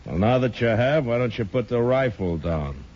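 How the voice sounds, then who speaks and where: A man playing a stern, watchful marshal of the Old West in a 1950s radio western, speaking in a measured, blunt, authoritative way. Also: lively intonation, darkish tone, close to an everyday voice. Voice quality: deep, firm. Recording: dull, hissy 1950s radio recording.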